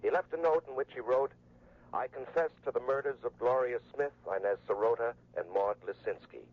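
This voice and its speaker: An actor playing a solemn radio news announcer with a formal, gravelly delivery in a 1970s radio drama. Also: male voice, medium pitch, brisk, natural voice. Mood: urgent, solemn. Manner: formal, declamatory. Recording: tinny narrowband radio bulletin sound.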